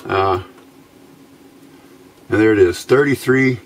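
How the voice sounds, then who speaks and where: A man giving a casual hands-on demonstration of homemade gear in an unhurried, halting, explanatory way. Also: on the low side. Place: small indoor workbench by a window. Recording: faint background noise.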